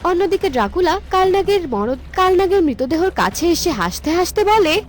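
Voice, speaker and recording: A woman's voice: very high-pitched, big pitch swings, normal speed, a little hiss or hum. A woman narrator reading a scripted cartoon fairy tale. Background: music.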